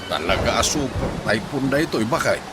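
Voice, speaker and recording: A man's voice: mid-range voice, lively intonation, fairly quick, loud music behind the voice, close to an everyday voice. A man playing an easygoing older village husband in an Ilocano radio drama, with an Ilocano accent. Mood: concerned. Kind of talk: radio comedy-drama acting. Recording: noisy ambience behind.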